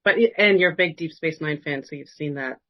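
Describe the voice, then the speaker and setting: A woman's voice: sits low, a little fast, muffled recording. A woman hosting a podcast interview in a casual, conversational style.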